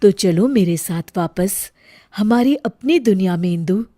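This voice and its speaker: A woman playing the gentle, protective spirit of a mother in a story, soothing and tender. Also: medium pitch, expressive, measured pace, no room sound, studio quality, faint music behind. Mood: comforting. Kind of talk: acted story dialogue.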